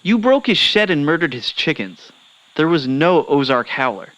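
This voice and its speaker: A man's voice: on the high side, very animated, normal speed, a bit bright, dry recording, rough recording. A man playing an impatient, sarcastic young newspaper reporter and skeptic in a comedic audio drama. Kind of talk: comedic audio drama acting as interview guest.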